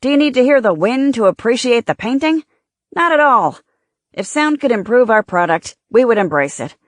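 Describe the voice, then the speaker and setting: A woman's voice: on the high side, big pitch swings, normal speed, dry recording, voice pushed up. A woman voicing a firm, opinionated male studio chief making rhetorical points in an audiobook. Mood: insistent.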